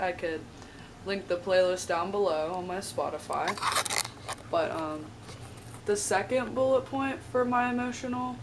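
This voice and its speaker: A young woman, a college student, talking casually in a vlog, a bit raspy from a sore throat and filling her speech with "like" and "um". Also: on the low side. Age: young adult. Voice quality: chatty, lots of "like" and "um". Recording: slightly distant mic with faint noise.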